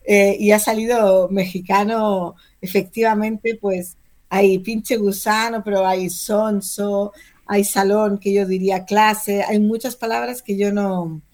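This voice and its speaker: A woman with an accent from Spain, speaking as an interviewed novelist in a talkative, expressive, conversational way. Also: medium pitch, slow. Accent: Spanish, from Spain.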